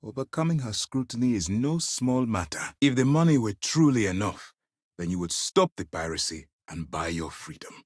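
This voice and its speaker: An actor playing a stern, commanding space military commander in a video game, speaking in a formal, measured, authoritative way. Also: male voice, on the low side, expressive, no room sound, clean studio sound. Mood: measured, resolute. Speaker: fleet commander.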